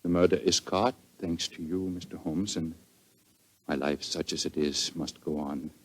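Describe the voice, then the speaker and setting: An actor playing a proud, passionate Viennese count, formal and melodramatic in 1940s radio style. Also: male voice, low voice, fairly even intonation, normal speed, husky, low-quality recording. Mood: grief-stricken.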